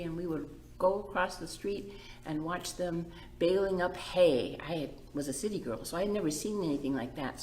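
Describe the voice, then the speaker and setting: A middle-aged woman hosting a children's storytime, reading a picture book aloud and putting simple questions to young listeners. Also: fairly low pitch. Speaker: children's librarian and storytime host. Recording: roomy echo.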